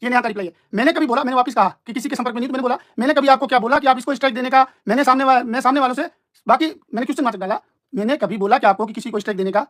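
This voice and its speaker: A man's voice: very high voice, racing, a bit bright. A man in an informal live-stream argument, explaining himself in an emphatic, repetitive, combative way.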